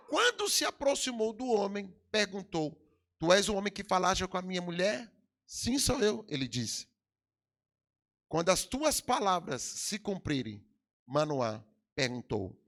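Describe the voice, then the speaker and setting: A man's voice: high voice, gravelly, a bit bright. A middle-aged Brazilian man with a Brazilian Portuguese accent, preaching a sermon in a church with emphatic swells and sudden drops. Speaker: pastor. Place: church hall.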